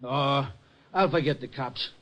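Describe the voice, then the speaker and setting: An actor playing a gruff, reluctant boxing gym owner with blunt, slangy talk in 1950s radio drama. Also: male voice, medium pitch, unhurried, fairly bright tone, lightly acted. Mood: grudging, wary.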